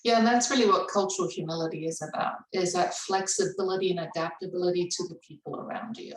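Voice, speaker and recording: A woman's voice: on the low side, fairly even intonation, fast. A woman who grew up in Indonesia speaks as a cultural humility trainer in a webinar, with a reflective manner and easy laughs. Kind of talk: webinar conversation. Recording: roomy, distant mic.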